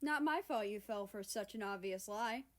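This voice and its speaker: A woman's voice: medium pitch, normal speed, natural voice. A woman voicing a smug female feathered guardian in a storybook, teasing others in a gleeful, mocking manner.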